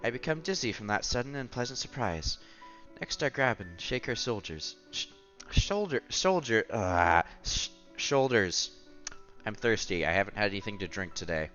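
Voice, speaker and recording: A man's voice: lowish voice, sing-song, normal speed, fairly bright tone, lo-fi sound. A man streaming a visual novel, reading the text aloud with casual commentary and stumbling over words now and then. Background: game music.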